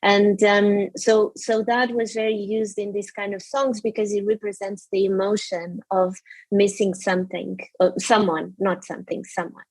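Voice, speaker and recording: A woman's voice: mid-range voice, little pitch movement, normal speed, darkish tone, no room sound, low-quality recording. A woman from Portugal with a Portuguese accent, a guest telling her story candidly, restarting often and saying "blah, blah, blah.". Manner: open, emotional, frequent restarts and fillers.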